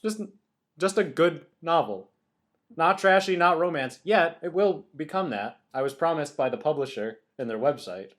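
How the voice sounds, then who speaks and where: Man with very lively, swooping delivery. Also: on the high side, normal speed. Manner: playful, interjecting. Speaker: podcast co-host. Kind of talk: casual comedic banter.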